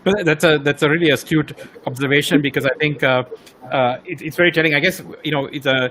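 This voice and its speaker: A man's voice: fairly high pitch, quick pace, bright, forceful tone, a little hiss or hum. Rambling man. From Sri Lanka. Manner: rambling, many fillers and repeated words. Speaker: interviewer. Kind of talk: casual interview conversation.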